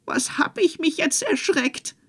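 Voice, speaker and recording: An anxious farmer's wife speaks urgently in a raised voice, as a woman reads her. The tone is breathy and startled. She belongs to a storybook folk tale. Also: on the high side, animated, measured pace, voice pushed up.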